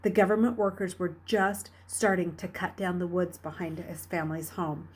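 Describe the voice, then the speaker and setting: A woman reading a novel aloud as a storyteller, with dramatic, expressive delivery. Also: fairly low pitch, normal speed, slight background noise. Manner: dramatic, expressive, with hushed dips and emphatic rises. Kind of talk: read-aloud storytelling narration.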